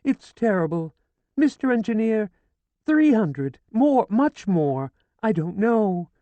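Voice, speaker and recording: Reader putting on a frantic older woman, screechy and high, begging over and over, voice swinging all over the place. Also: big pitch swings, slow, dry recording, narrow, tinny audio. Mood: hysterical pleading. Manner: shrill, pleading, near screaming. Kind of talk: audiobook character voice.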